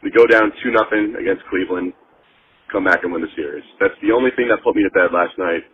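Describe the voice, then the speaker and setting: A man's voice: low voice, fast, a little hiss or hum. A man from Long Island leaving a casual voicemail as a caller to a show, phoning while driving. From Long Island, New York. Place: in a car, driving. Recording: muffled phone voicemail from car.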